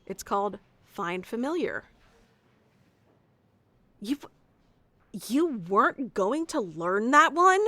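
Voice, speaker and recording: A woman's voice: a little high, very animated, takes it slowly, pauses often, pushed and bright, no room sound, heavily acted. A woman playing a haughty, easily flustered tsundere young wizard mentor in an ASMR roleplay, bossy and teasing, stammering when flustered. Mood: exasperated, scolding. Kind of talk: ASMR roleplay acting addressed to the listener.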